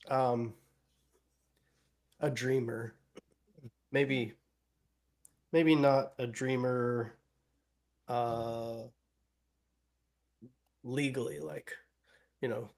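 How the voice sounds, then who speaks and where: A man's voice: medium pitch, a little mellow. A man co-hosting an interview, asking long questions while searching for his words. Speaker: co-host, former instructor.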